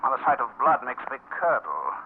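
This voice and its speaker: A man's voice: mid-range voice, sing-song, a little fast. Fussy, fretful man, old tinny radio. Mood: fretful, flustered. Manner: hesitant, flustered, prissy. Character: fussy, squeamish, fretful middle-class theatrical agent. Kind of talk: 1940s radio acting. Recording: tinny, hissy old radio broadcast recording.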